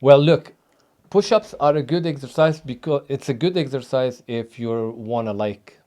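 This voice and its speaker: Tunisian-born male trainer, mellow tone, emphatic, halting chat. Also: mid-range voice. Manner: emphatic, hesitant with many fillers. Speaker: fitness trainer. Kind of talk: casual interview talk.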